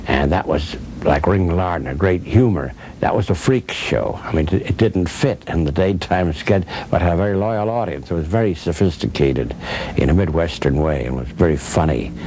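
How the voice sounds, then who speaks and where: A man's voice: low voice, darkish tone. An older man reminisces in an interview, plain-spoken and anecdotal, in short punchy sentences. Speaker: former radio soap opera actor, interviewee. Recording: noticeable background noise.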